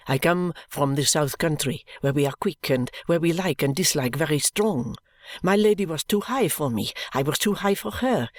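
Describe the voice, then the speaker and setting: A woman voicing an intense, proud French lady's maid with a French accent, speaking in a low, earnest, subdued way. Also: no room sound, clean studio sound.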